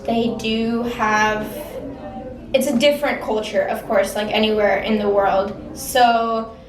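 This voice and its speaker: A woman's voice: fairly high pitch, steady tone, takes it slowly, roomy sound, recorded from a distance, crowd noise. A sixteen-year-old girl from the Czech Republic, an exchange student answering interview questions casually with lots of "like" and "um". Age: teens. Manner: casual, many fillers like "like" and "um".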